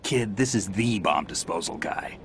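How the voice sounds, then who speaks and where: Gruff deep-voiced veteran soldier. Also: male voice, lively intonation, moderate pace, slight background noise. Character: gruff male soldier, calm, commanding, veteran.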